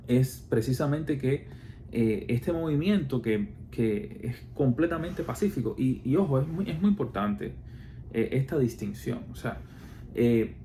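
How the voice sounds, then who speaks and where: A man with a Cuban Spanish accent talks in a relaxed, mellow voice, explaining his views in casual talk. He circles back often, stumbling over fillers, false starts and rhetorical questions. Faint background noise sits under the voice. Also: mid-range voice. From Cuba. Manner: hesitant, many fillers and restarts, rhetorical questions.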